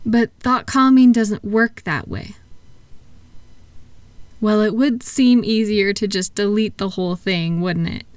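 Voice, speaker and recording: A woman playing a kind, patient therapist in a relaxation roleplay audio, speaking softly and gently in an unhurried, reassuring way. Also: medium pitch, dry recording, lightly acted. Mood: patient, explaining. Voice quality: soft-spoken, gentle. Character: kind, patient female thought-calming therapist soothing a client. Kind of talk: soft-spoken roleplay relaxation audio for sleep.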